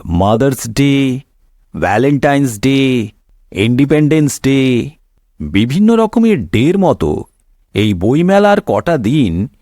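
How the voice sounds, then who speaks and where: A man's voice: mid-range voice, darkish tone, no room sound, clean studio sound. A man narrating a scripted comic cartoon story in a wry, theatrical way. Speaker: storyteller host.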